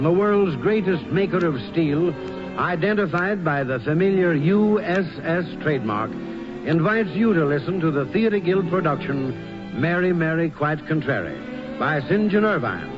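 A man's voice: a little high, very animated, unhurried. A man announcing formally in the style of 1940s network radio. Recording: dull 1940s radio recording. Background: music.

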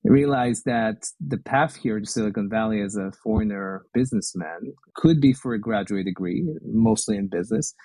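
A man's voice: on the low side, normal speed, hardly any pauses, mellow, dry recording, low-quality recording. A middle-aged Israeli man with an Israeli accent, speaking English as a second language as a guest in a podcast interview. He talks thoughtfully and sometimes repeats words while he searches for the phrasing. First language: Hebrew. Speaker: guest, venture investor.

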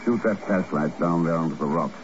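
An actor playing a composed, suave secret agent with a dry, understated manner in a 1950s radio drama. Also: male voice, low voice, a little fast, natural voice. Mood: urgent. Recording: muffled, noisy old radio recording.

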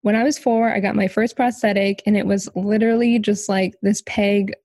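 A woman's voice: medium pitch, fairly even intonation, moderate pace, a little mellow, no room sound, clean studio sound. A young woman, a podcast guest, tells her life story casually, with many filler ums and light laughs. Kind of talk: personal story in an interview.

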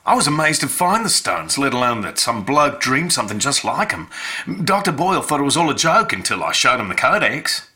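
An actor playing a plain-spoken, excitable Australian mining engineer in a radio drama, blunt and full of slang. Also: male voice, medium pitch, a little fast, breathy edge, heavily acted. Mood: eager, insistent.

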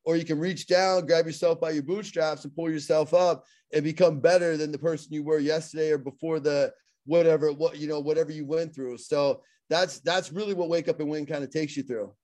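A middle-aged man speaks with a Pittsburgh accent in a slightly high voice. He talks earnestly in a loose interview style, often repeating words and restarting his sentences. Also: fairly even intonation, brisk, darkish tone. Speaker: guest, motivational speaker. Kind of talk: casual interview talk.

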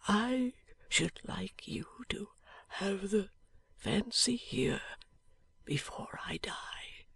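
A woman voicing a gravely ill young gentleman, speaking in a faint, breathy, halting whisper. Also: medium pitch, animated, voice pushed up, exaggerated voice. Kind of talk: audiobook character voice.

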